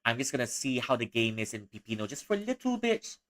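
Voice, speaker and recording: A man's voice: medium pitch, big pitch swings, fast. Rambling young man, chatty streamer. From Malaysia. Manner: rambling, chatty, frequent restarts and soft laughs. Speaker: livestreamer. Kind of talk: casual livestream chat.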